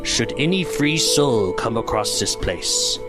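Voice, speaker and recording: Loud music swells under a grandiose dinosaur warrior, acted by a man, telling an epic tale. The mid-pitched voice is solemn and reverent, playing the farcical audiodrama with heavy ceremony. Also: expressive, slow. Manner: overly dramatic, solemn, grandiose oratory.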